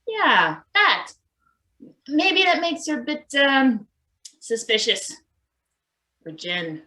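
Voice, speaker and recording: A woman playing a mild, weary maintenance worker in a stage play reading, halting and understated. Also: high voice, big pitch swings, sharp tone, heavily acted. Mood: awkward, dry. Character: hesitant, mild-mannered, weary male maintenance worker named Izzy. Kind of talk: live table read of a satirical stage play.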